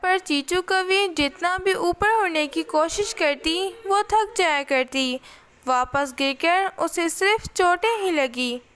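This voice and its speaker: A woman with a high voice narrates a children's story. She reads the script at a slow, unhurried pace, as the storyteller of a cartoon tale. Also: fairly bright tone.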